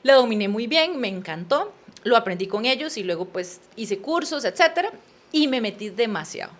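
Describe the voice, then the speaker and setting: A woman in her thirties with a Costa Rican accent, a podcast guest telling her life story in an earnest, animated way. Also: a little high, moderate pace. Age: 30s. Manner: earnest, animated, addresses listener as usted. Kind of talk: personal storytelling in conversation.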